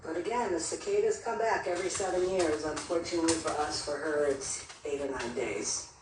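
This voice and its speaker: A woman's voice: sits low, a little fast. A woman giving casual, hesitant commentary on online drama, often repeating her words, hot and sweaty. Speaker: TikTok commentator. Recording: echoey, distant, noisy playback.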